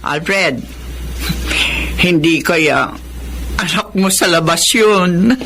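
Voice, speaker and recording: A woman's voice: fairly low pitch, drawn-out words, fairly bright tone, full character voice. A woman playing a cheerful, enterprising middle-aged restaurant owner in a Filipino radio drama, chummy and playful. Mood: chummy, intrigued. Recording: noisy recording with background ambience.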